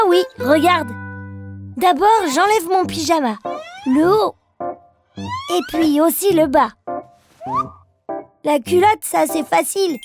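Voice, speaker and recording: High-pitched, playful little bear cub. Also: heavily acted. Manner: childlike, playful, slow simple phrasing. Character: cheerful, curious little male bear cub, a toddler. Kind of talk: children's cartoon dialogue and rhymes.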